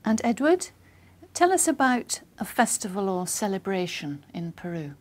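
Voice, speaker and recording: Lady examiner reading out test prompts, calm and formal, mid pitch. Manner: formal, clear and measured. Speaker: exam interlocutor. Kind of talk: scripted exam questions.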